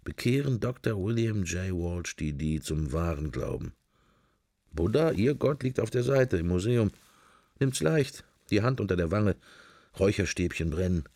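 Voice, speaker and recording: Musing sceptic, very low voice, creaky, wry, clipped phrases, radio drama interior monologue. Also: male voice, a little mellow, dry recording, studio quality, full character voice. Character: wry, musing male sceptic, inner thoughts while observing church.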